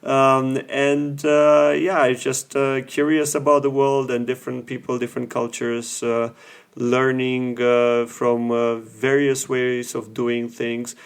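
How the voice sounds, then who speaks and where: A man, a guest professor from Romania, talking in a measured way and pausing often between phrases in a casual interview. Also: mid-range voice, flat delivery, clean tone.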